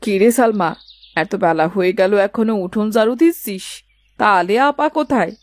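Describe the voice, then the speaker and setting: A woman's voice: medium pitch, animated, brisk, dry recording, lightly acted. A woman playing a chatty, inquisitive village aunt in a cartoon, talking in a familiar, gossipy way. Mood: familiar, nosy. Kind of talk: dramatised cartoon dialogue.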